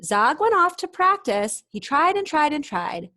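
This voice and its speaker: A woman reading a storybook aloud expressively for children, pausing for chatty explanations and questions to her young listeners. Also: high voice, big pitch swings, pushed and bright.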